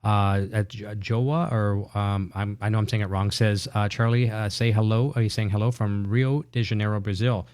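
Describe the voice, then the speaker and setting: A man's voice: fairly low pitch, fairly even intonation, normal speed, hardly any pauses, darkish tone, clear, resonant tone. A man hosting a show, chatting with a guest and reading out viewer comments. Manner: upbeat, reads viewer comments. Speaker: show host. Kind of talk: casual interview chat.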